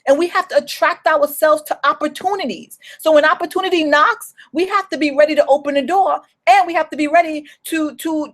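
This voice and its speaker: A young woman with a New York accent giving an earnest motivational talk as a business coach, often repeating and restarting her phrases. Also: sits high.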